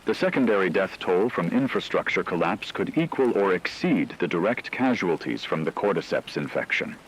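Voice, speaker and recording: A man playing a grave, analytical news anchor in an apocalypse radio drama, speaking in a measured, formal way. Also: low-pitched, very animated, a little hiss or hum, rough recording. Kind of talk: scripted radio news commentary.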